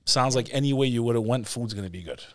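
A man's voice: medium pitch, fast talker, no room sound, clean studio sound. A man with an Albanian-tinged American accent hosting a casual, chatty interview, joking and using street slang. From born in Texas, United States, to an Albanian family. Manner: jokey, uses street slang. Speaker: podcast host.

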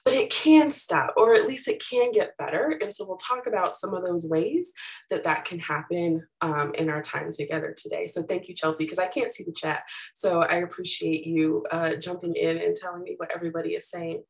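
A middle-aged woman, a little raspy from allergies, giving a chatty webinar presentation with plenty of fillers. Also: on the low side, moderate pace, low-quality recording. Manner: casual and chatty, many fillers.

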